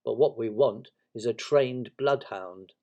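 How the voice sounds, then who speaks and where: A man's voice: mid-range voice, a little slow, dark tone, dull audio, natural voice. A man voicing a gloomy, self-pitying toy donkey in a storybook, with a melancholy, resigned, grumbling delivery.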